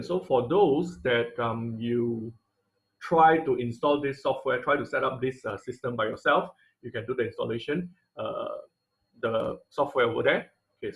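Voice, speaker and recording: A man's voice: mid-range voice, vocal fry, poor audio. Male instructor, patient explainer, filler-heavy, computer mic. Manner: explanatory, frequent "uh" and "right?" tag questions. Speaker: robotics instructor. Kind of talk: online class lecture over slides.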